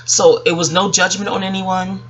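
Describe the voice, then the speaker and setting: A woman speaking casually and reflectively about her own experience, rambling with emphatic pauses and frequent self-corrections. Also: mid-range voice, little pitch movement. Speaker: vlogger. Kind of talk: casual reflective personal storytelling.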